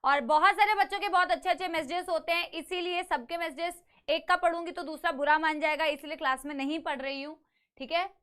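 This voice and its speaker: A woman's voice: very high voice, fairly even intonation, a little fast, a bit bright. A young woman teaching a live online biology class with a slightly sore throat, who coaxes her students, calls them "beta" and mixes English phrases into her lecture.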